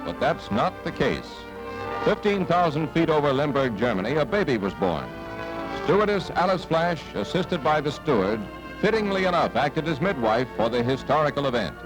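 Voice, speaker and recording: A vintage newsreel soundtrack carries an American man announcing over prominent music. His voice sits fairly high and moves up and down with great animation. He delivers the script in a dramatic, punchy 1950s style. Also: sing-song, moderate pace, low-quality recording. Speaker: newsreel narrator.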